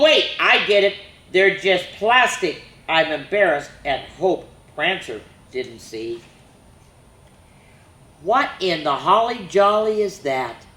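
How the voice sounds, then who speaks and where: A woman voicing a cheerful dancing Christmas reindeer from a picture book, with bouncy, excitable exclamations. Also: lowish voice. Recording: roomy echo.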